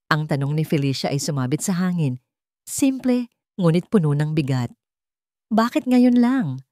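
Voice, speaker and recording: A woman telling a dramatic love story in a slow, solemn storytelling manner. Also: fairly low pitch, darkish tone, dry recording, clean studio sound. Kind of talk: scripted dramatic narration.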